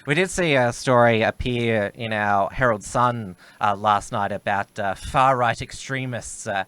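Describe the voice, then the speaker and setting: A man co-hosting a political talk stream, getting loud and emphatic when indignant. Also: mid-range voice, unhurried, clean tone, dry recording. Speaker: co-host of a political livestream.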